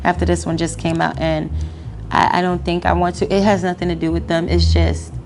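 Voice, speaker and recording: Slangy, animated woman with gravelly voice. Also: fairly low pitch, fairly quick, faint music behind. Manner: animated, slangy, laughs often. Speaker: interview guest. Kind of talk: casual interview chat. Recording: noisy background.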